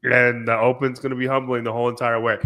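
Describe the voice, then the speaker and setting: A man's voice: mid-range voice, level tone, fast, sharp tone. A man hosting a casual podcast chat, slightly nasal and congested from a cold, teasing and coaching his friends. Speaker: podcast host.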